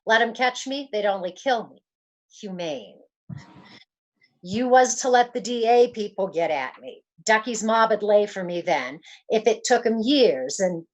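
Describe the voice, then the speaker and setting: A woman playing a frightened young gangster's moll on the run, slangy and pleading, in an old-time radio detective play. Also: mid-range voice. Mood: fearful, urgent.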